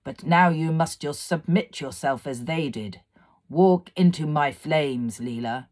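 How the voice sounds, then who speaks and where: Storybook villain: woman playing a cruel male fire demon, mocking and commanding, slow, weighty delivery. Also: low-pitched, darkish tone. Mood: commanding, taunting. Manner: imperious, scornful, declamatory. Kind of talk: dramatised storybook reading.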